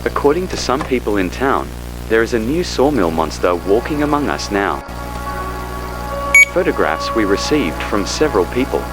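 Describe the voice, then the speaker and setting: A man giving a measured, official-sounding scripted narration for an analog horror report tape. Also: fairly low pitch, sing-song, poor audio. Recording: loud music bed under the voice. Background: loud music.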